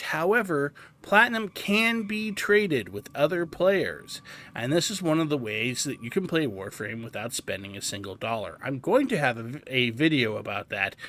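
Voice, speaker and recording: Male gaming host: bright, forward voice at medium pitch, casual explanatory commentary in deliberate phrases. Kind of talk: casual tutorial game commentary.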